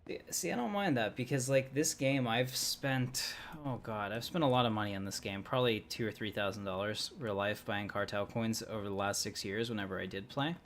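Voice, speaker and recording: A man's voice: on the low side, brisk, hardly any pauses, fairly bright tone, a little hiss or hum. A man livestreaming a game who chats casually in a rambling way with frequent fillers. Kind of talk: casual gameplay chat.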